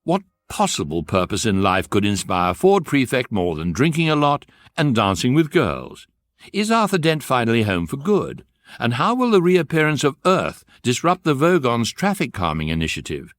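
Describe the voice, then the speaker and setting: A man delivers a scripted teaser for a radio comedy with mock drama. His mid-pitched voice is very varied in tone, recorded close and dry. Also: wildly swooping pitch, moderate pace, fairly bright tone, no echo. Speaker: programme announcer.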